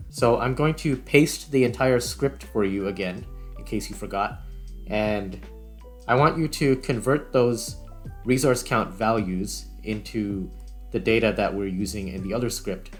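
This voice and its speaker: A man hosting a tutorial vlog, talking casually and informally as he works through steps. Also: lowish voice. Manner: informal, conversational. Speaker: video host.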